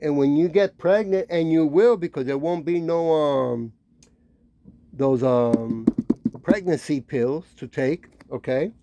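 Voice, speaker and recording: A man giving an informal, admonishing sermon, talking conversationally with frequent checking tags and pausing to read scripture. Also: on the high side, warm tone, a little hiss or hum. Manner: conversational, peppered with "right?" and "okay?" tags; admonishing, warning. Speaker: religious street-style preacher and teacher.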